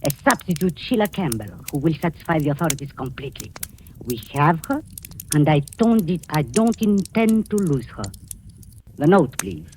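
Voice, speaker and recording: An actress playing a scheming, falsely sweet middle-aged aunt in old radio suspense style, with halting, menacing delivery. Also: female voice, low-pitched, sing-song, a little mellow. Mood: cold, commanding. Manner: cloying endearments, deliberate halting menace. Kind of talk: 1940s radio suspense acting. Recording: noisy old-time radio recording.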